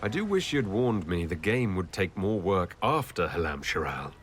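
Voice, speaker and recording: Low-voiced, lively elven leader. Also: male voice, normal speed, slight background noise. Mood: gently teasing. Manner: polite, wry. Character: composed, courteous elven male leader, dryly teasing. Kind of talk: video game dialogue acting.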